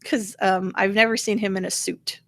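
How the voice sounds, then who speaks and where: A woman's voice: medium pitch, little pitch movement, normal speed, vocal fry, no room sound. Casual woman host in a dry room. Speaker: talk show host. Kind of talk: casual conversational commentary.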